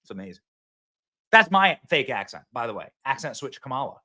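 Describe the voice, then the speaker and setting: A man hosting a live political talk show from a phone, excitable and rambling, often repeating himself. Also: medium pitch, a little fast. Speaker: host.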